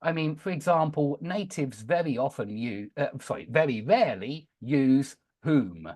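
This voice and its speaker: A man teaching an online English lesson, explaining and gently correcting his student's mistakes. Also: mid-range voice, expressive, normal speed. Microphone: video call.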